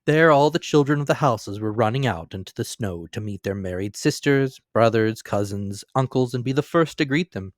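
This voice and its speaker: A man giving a dramatic, measured reading of a classic novel. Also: mid-range voice, animated, dry recording, studio quality. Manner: measured, theatrical storytelling. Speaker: reader.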